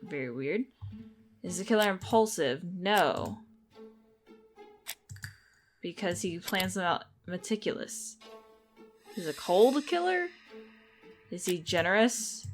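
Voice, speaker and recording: A woman's voice: fairly low pitch, sing-song, slow pace, long pauses, soft background music. A woman gamer giving casual commentary on a playthrough, musing aloud and asking herself questions.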